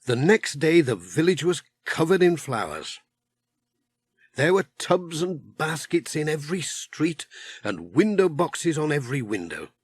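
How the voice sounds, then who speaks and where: A man's voice: a little high, very animated, unhurried, husky, dry recording. A British man narrating a scripted children's story as an audiobook reader. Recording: noisy old audiobook transfer.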